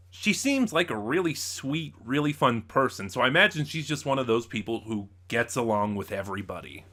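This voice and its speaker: A man hosting a casual spoken review, thinking aloud in a halting way and often backtracking to rephrase himself. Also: mid-range voice, bright, forceful tone. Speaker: audio drama reviewer, channel host.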